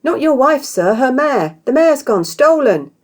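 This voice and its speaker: A woman's voice: sits high, sing-song, unhurried, darkish tone, voice pushed up, full character voice. A woman voicing an anxious young male squire in a story, urgent and respectful. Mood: alarmed. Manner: urgent, deferential.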